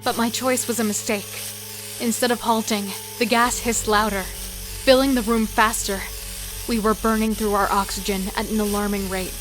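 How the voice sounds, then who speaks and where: A woman's voice: a little high, little pitch movement, moderate pace, over music, natural voice, low-quality recording. A woman playing a wary, devoted female killer in a horror audio drama, telling and living the story with tense emotion. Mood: cautious. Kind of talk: first-person dramatic narration and horror audio drama dialogue.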